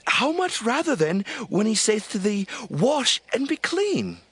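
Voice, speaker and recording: An actor playing a deferential servant pleading gently in a dramatized scripture reading. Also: male voice, high-pitched, very animated, unhurried, slight background noise, exaggerated voice.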